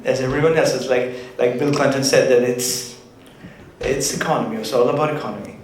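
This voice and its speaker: A man's voice: medium pitch, fairly even intonation. Guy moderating a panel, stops and restarts a lot, big echoey hall, bit of hiss. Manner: halting, many restarts and fillers. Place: community event hall. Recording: very echoey hall with some noise.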